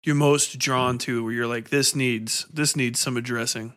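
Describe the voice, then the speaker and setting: Bright-voiced man, casual interviewer. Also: medium pitch, fairly even intonation, unhurried. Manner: informal, stumbling, repeats words as he forms questions. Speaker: podcast host, interviewer.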